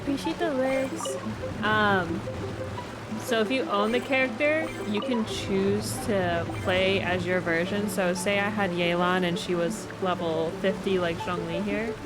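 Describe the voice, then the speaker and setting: A woman's voice: medium pitch, steady tone, measured pace. A woman chatting casually on a live game stream, explaining things in a conversational way. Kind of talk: casual live gameplay chat. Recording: loud game music behind voice. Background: loud video game music.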